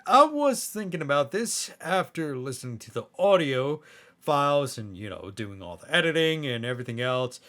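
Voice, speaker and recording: A man's voice: mid-range voice, sharp tone, full character voice. A man playing a chatty, fussy hellhound brother in skit banter, explaining and coaxing in a hesitant way.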